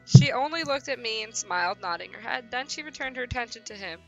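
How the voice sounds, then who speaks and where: A woman's voice: fairly high pitch, animated, fast talker, a bit bright, low-quality recording. A woman on a casual livestream, rambling in a self-deprecating way and at times reading text aloud. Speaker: streamer and host. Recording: music behind the voice.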